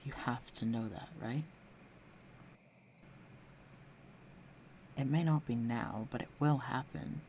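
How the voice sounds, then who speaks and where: Man playing a gravelly, flirtatious fantasy villain. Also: mid-range voice. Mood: brooding, earnest. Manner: teasing, self-assured, unhurried, dropping into hushed near-whispers. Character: flirtatious, confident fantasy villain, secretly protective of his enemy. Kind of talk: intimate roleplay audio drama addressed to the listener. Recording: faint noise, night crickets and insects. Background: night ambience with crickets.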